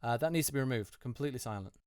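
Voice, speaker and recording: A man's voice: medium pitch, lively intonation, very fast, darkish tone, no room sound, clean studio sound. A man giving unscripted, casual commentary as a voice actor reviewing auditions, hesitant and often repeating words for emphasis.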